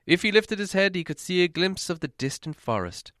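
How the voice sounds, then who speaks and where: Animated male storybook narrator, very dry sound. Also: high-pitched, a little fast, dry recording. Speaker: audiobook narrator. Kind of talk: dramatic scripted storybook narration.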